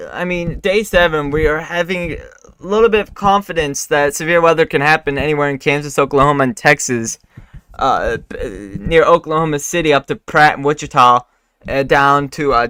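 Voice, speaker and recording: A man's voice: on the high side, normal speed, pushed and bright, smooth, no room sound. A man hosting a live weather forecast stream, talking casually with many fillers and restarts, his voice still recovering after he lost it. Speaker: weather livestream host.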